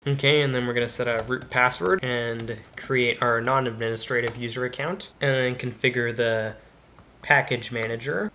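A man's voice: medium pitch, sharp tone, a little hiss or hum. Young man narrating a computer walkthrough. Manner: casual, step-by-step, talks through each click. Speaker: tech channel host. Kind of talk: screen-recorded tutorial narration. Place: bedroom studio with computer screens behind.

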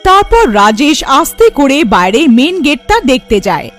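Woman, story narrator, very high pitch, lively intonation, scripted horror cartoon, faint background music. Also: moderate pace.

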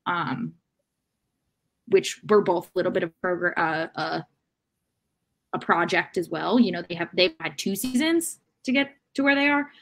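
A woman in her thirties co-hosting an unscripted soccer discussion on a video call, speaking in a measured, hesitant way as she thinks aloud. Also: fairly low pitch, fairly bright tone. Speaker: sports podcast co-host. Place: room at home.

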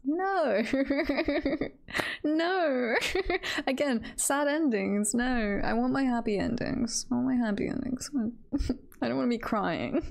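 A woman's voice: a little high, creaky, a little mellow. A woman streamer chatting casually on a livestream, musing in a playful, giggly, hesitant way. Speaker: streamer and voice performer.

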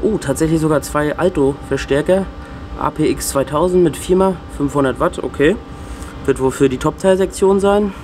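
A man giving casual, off-the-cuff vlog commentary as a sound technician, in informal colloquial speech. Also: a little high. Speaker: video host and PA sound technician. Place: indoor venue rooms and cellar. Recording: background hum and room noise.

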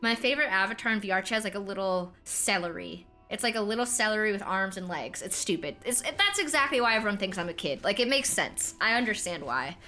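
A young woman with a very high-pitched, almost childlike voice rambles through a story while she plays. She swears casually and laughs at herself. Game music plays clearly underneath her. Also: expressive, quick pace, hardly any pauses, pushed and bright. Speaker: streamer. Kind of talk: casual gaming stream chat.

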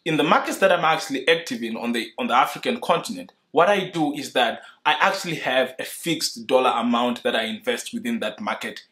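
A young man from Zimbabwe, explaining his investing approach to camera in an earnest, measured way, seated indoors. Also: medium pitch, bright, forceful tone. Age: young adult. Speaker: investing YouTuber. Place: indoor room in front of a leather sofa.

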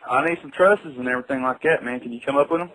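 A man's voice: mid-range voice, rapid-fire, fairly bright tone, no room sound. A foul-mouthed man making a crude prank phone call.

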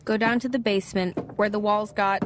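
Audio fiction lead: woman playing teenage girl, bookish loner, wry narrator who gets excitable. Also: medium pitch, fairly quick, noisy background, lightly acted. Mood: wry, hushed intrigue.

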